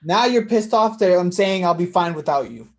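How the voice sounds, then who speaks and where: Wounded younger brother, read by a woman, naturalistic stage drama, self-blaming and prickly, fairly low and very animated. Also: normal speed. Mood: hurt, bitter. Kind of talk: staged play reading, naturalistic dialogue.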